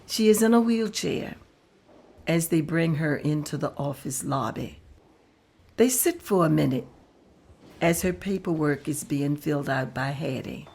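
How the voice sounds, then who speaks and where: Slow, lower-voiced woman narrating. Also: darkish tone, slightly rough. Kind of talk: table read narration.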